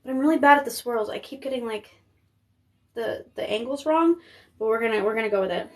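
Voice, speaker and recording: A young woman from Maine talks through a live stream in a loose, rambling way, peppered with fillers. Her voice sits a little high. She sounds like she is chatting from home into a phone. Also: fairly quick. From Maine, United States. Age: 20s. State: pregnant. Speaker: makeup artist streaming live. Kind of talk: casual live-stream chat.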